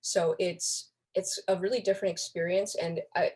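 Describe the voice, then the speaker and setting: A woman's voice: fairly low pitch, little pitch movement, moderate pace, darkish tone, breathy edge. A young woman speaking as a podcast guest, explaining things in a casual, conversational way. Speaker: podcast guest, online community moderator.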